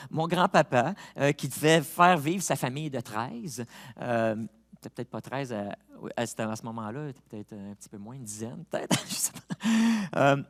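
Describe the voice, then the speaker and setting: A man with a Québécois accent, a pastor preaching a sermon who moves from quiet reflection to loud, rising appeals, with chatty personal asides. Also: a little high. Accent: Québécois French. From Quebec. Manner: chatty asides with fillers and laughs.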